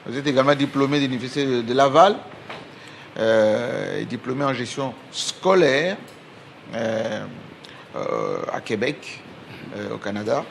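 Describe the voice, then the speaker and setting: A man's voice: medium pitch, a little slow, creaky, slight background noise. A man from Congo hosting a TV interview in a studio, talking hesitantly with many fillers and repeated words. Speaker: TV host.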